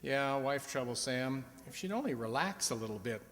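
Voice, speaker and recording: Middle-aged henpecked husband , male actor, lively pitch swings, complaining and worn out, old-time radio comedy, reverberant hall. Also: normal speed, natural voice. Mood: weary, complaining. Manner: complaining, sighing. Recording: echoey hall stage recording.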